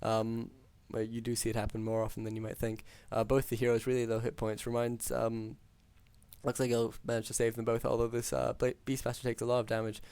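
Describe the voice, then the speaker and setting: Flat-voiced man calling a strategy match. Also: medium pitch, fairly quick, vocal fry, clean tone, no room sound. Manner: steady, filler-heavy analytical play-by-play. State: hoarse. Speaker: game caster. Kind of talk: solo esports play-by-play commentary.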